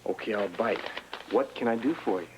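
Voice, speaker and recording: A man's voice: fairly low pitch, a little fast, background noise, natural voice. An actor playing a brusque businessman who turns flirtatious with a visitor. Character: brusque, flirtatious male gym owner. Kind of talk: 1980s TV soap acting.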